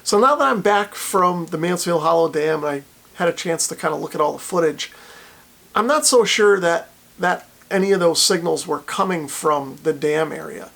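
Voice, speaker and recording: A man's voice: a little high, normal speed, vocal fry, a bit bright. A man narrating a casual vlog in an informal, explanatory way, thinking aloud as he goes. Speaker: vlogger, radio hobbyist.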